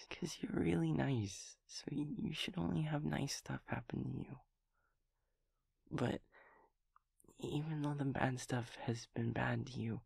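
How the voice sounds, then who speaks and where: Guy doing a sweet scatterbrained young male daemon, creaky voice, stammers and rambles, super close dry audio roleplay. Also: medium pitch, no room sound, exaggerated voice. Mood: tender, happy. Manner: hesitant, stammering, rambling, childlike, softly giggling. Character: gentle, naive, scatterbrained young male empathy daemon friend.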